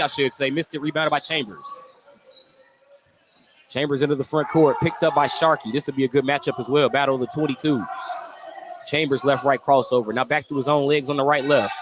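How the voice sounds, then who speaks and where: A man doing live basketball play-by-play for radio, describing the action in running detail and correcting himself as he goes. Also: fairly high pitch. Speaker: play-by-play sports commentator. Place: indoor basketball gym. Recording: noisy live gym ambience.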